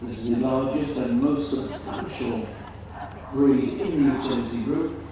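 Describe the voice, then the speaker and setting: A man announcing and commentating at a live show in a measured, deliberate, formal manner. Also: mid-range voice. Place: large indoor show arena. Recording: echoey PA in noisy crowded hall, distant. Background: crowd noise and hall ambience.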